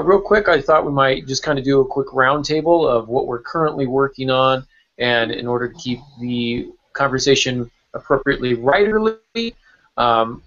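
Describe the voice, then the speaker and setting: A man's voice: medium pitch, a bit bright. Casual male podcast host, dull lo-fi audio. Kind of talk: casual roundtable hosting. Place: online video call. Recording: dull lo-fi online call audio.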